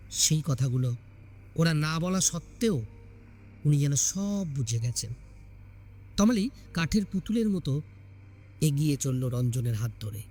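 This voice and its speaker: A man's voice: a little high, dark tone. A man reading a scripted story aloud as a dramatic storyteller.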